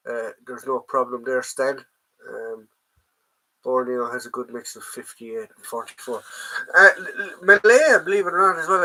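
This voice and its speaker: A man's voice: mid-range voice, pushed and bright, low-quality recording. An Irish man with a stuffy nose from a head cold, hosting a casual live chat from home, rambling with plenty of ums and greeting viewers by name. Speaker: livestream host, collector.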